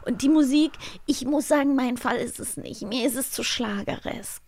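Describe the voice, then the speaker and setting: Bright-pitched female podcast host. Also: normal speed, no room sound. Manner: conversational, drops in fun facts and asides. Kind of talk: casual chat about a children's musical.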